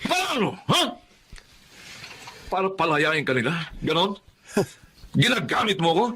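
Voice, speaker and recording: A man's voice: a little high, huge pitch swings, slow pace, slight background noise, heavily acted. A man with a Filipino accent playing a stern, proud middle-aged policeman father in an emphatic radio drama style. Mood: angry, menacing. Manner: emphatic, repeats phrases in melodramatic delivery. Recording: radio drama with loud music bed. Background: loud dramatic music.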